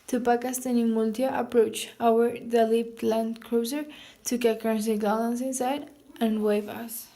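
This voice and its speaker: A woman reads a novel passage aloud for a class assignment, her voice staying flat and level. She often stalls on words and restarts them before going on. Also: a little high, echoey room. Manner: halting, self-correcting reading. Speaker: student reader.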